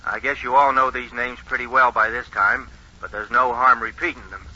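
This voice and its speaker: A man playing an earnest, careful radio announcer of 1920, reading formally and deliberately in a 1940s radio re-enactment. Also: mid-range voice, little pitch movement, sharp tone. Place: radio studio. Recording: dull, lo-fi 1940s radio recording.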